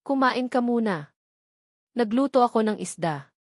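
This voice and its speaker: A woman narrator plays a calm, kindly man living alone on an island. His lines are terse, reassuring and unhurried, in audio drama style. The recording is dry, with very little room sound. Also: fairly high pitch, no room sound. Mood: reserved, kind.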